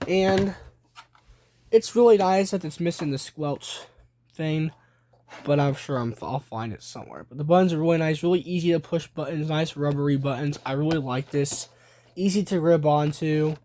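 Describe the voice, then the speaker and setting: A man with a slightly high voice talks casually through a show-and-tell, hesitating and thinking out loud as he goes. It is a handheld home recording with some noise and small handling clicks. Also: normal speed. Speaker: video creator showing a collection.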